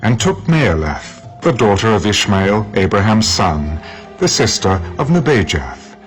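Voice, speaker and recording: A man narrating scripture from a script in a measured, dramatic storytelling manner. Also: lowish voice, very animated, soft background music.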